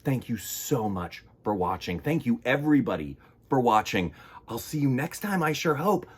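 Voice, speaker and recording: A man hosting a children's storytime, reading and chatting in a theatrical, expressive way. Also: mid-range voice, normal speed, husky. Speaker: children's storytime host and reader.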